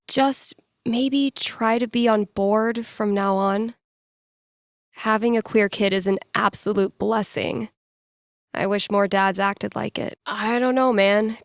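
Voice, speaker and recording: A woman's voice: mid-range voice, no echo, clean studio sound, close to an everyday voice. An actress voicing a wry, playful young woman who hosts small-town radio in a video game, with a casual, irreverent, conversational delivery. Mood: sincere, supportive. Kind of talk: casual, jokey radio ad reads and call-in chat.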